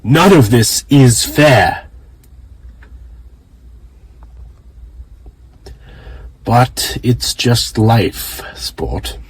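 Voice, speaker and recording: A man playing a weary, earnest father who speaks haltingly to his child in a fan audio drama. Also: medium pitch, sing-song, slight background noise, poor audio. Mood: consoling, resigned.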